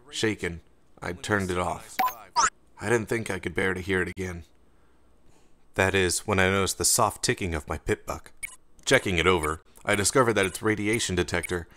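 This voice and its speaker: A man reading first-person fiction as an audiobook narrator, in a measured, dramatic way. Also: sits low, fairly bright tone.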